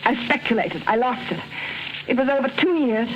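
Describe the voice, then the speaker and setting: An actress playing a frightened wife stalling for time, in halting, theatrical old radio drama style. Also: female voice, fairly high pitch, animated, lightly acted. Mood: halting, confessional. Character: frightened wife desperately stalling, feigning a murderous confession. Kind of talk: 1940s radio drama acting. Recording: dull, noisy vintage radio recording.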